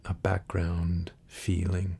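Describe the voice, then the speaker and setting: A man speaking softly in an ASMR style, breathy and gentle, at times close to a whisper. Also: sits low, completely flat delivery, slow pace. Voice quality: soft, breathy, husky, sometimes near a whisper. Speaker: ASMR video creator and reader. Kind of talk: soft-spoken ASMR talk and poem reading.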